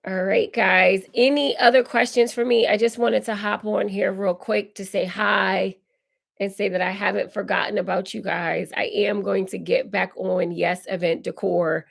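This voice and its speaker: Hoarse, raspy woman chatting on a live stream. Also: medium pitch, fairly even intonation, moderate pace. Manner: chatty, reads viewer comments aloud and answers warmly. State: hoarse, recovering from illness. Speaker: decor channel host.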